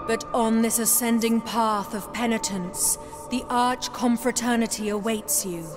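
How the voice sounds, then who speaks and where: Celestial female preceptor, serene, solemn, unhurried delivery, formal game monologue, prominent music behind. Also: fairly high pitch. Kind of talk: scripted dramatic video game monologue.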